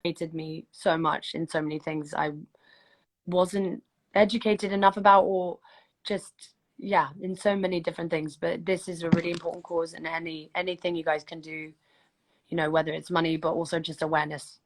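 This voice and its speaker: Earnest young woman, low voice. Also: steady tone, moderate pace, rough recording. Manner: earnest, rambling appeal. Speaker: livestream host. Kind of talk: casual livestream chat. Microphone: phone livestream.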